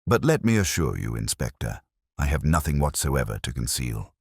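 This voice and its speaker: A man's voice: a bass voice, big pitch swings, moderate pace, breathy edge, no echo, studio quality, exaggerated voice. A man reading as a prim, composed lady treasurer. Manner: composed, precise, faintly indignant. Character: prim, self-assured middle-aged woman treasurer.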